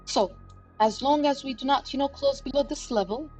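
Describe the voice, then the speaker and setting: A woman with a slightly high voice talks a live audience through price charts in a loose, chatty way. She leans on fillers and checks in with her listeners often. Faint music plays under her stream. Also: moderate pace, a little mellow. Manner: chatty, many fillers, addresses chat directly. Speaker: crypto chart analysis livestream host. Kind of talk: casual live market commentary. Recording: livestream with faint background music. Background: faint background music.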